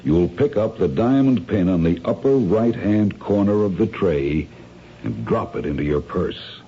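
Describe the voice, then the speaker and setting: An actor playing a calculating crime schemer in a radio mystery, measured, formal and condescending, with cool menace. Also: male voice, deep voice, animated, warm tone. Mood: calmly instructing. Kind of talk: radio mystery drama acting. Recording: dull, hissy old radio recording.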